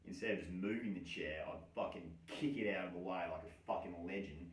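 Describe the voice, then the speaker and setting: A man's voice: low-pitched, brisk. A man tells a personal story in loose, slangy language full of swearing. His delivery is wry and self-mocking. The room is echoey and he sounds some way from the microphone. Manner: heavy casual swearing, slangy. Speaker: storyteller, host of his own story series. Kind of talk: casual first-person storytelling. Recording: echoey room, distant from mic.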